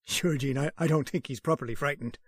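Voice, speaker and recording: An actor playing a gruff, fatherly older police sergeant in an old-time radio drama, speaking. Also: a little high, a little fast, no room sound, studio quality. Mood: fatherly, teasing.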